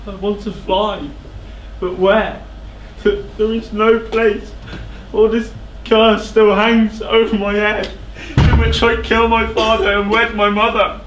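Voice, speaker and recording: A man playing a guilt-haunted king in a classical tragedy, declaiming in a halting, overwrought way on a theatre stage. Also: sits high, little pitch movement, a bit bright. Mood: anguished confession. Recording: echoey theatre stage, clear background noise.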